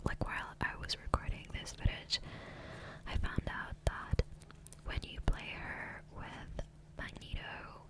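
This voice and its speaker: A woman whispering softly and breathily into a close microphone in a rambling, hesitant ASMR gameplay commentary, often trailing off. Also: rough recording. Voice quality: soft, breathy unvoiced whisper. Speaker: ASMR gaming creator. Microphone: close USB condenser microphone.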